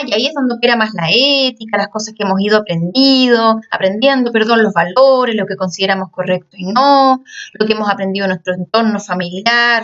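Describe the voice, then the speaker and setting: A woman's voice: a little high, a bit bright, distant mic, dull audio. A woman teaching a class, explaining step by step and checking in often with her students. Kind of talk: recorded class lecture.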